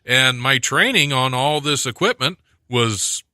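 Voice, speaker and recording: 40s guy hosting college radio, rambly and laughy, super animated pitch. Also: measured pace, dry recording, clean studio sound. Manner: laughs often, rambling storyteller. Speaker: radio show host. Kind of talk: casual banter on college radio. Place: radio studio.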